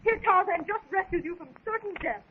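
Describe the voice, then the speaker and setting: A woman's voice: extremely high pitch, lively intonation, a little fast. An actress playing a spirited, outspoken young woman in 1930s radio serial style. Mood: scolding, spirited. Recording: tinny, noisy 1930s radio recording.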